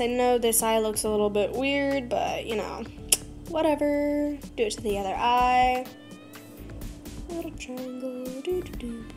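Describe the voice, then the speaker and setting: A woman's voice: fairly high pitch, darkish tone. Scratchy-voiced teen girl rambling through makeup. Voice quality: slightly scratchy voice. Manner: rambling, self-mocking, drifts to a mumble. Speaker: beauty vlogger. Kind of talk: casual makeup tutorial chat. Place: small bedroom by a curtained window.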